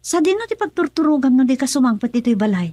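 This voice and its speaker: A woman's voice: high voice, big pitch swings, fast, warm tone, dry recording. An actress playing a young wife who suspects her husband in a radio drama, emotional and accusing, with an Ilocano accent. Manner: pleading then accusing, emotional.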